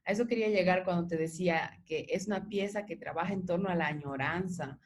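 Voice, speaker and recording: A woman interviewer giving thoughtful conversational commentary in a measured, reflective and supportive way. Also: lowish voice, background noise.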